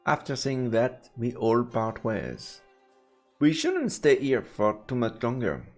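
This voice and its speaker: Male game streamer, lively intonation, reading story text aloud, faint music behind. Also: mid-range voice, slow. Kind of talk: let's play commentary and reading narration aloud.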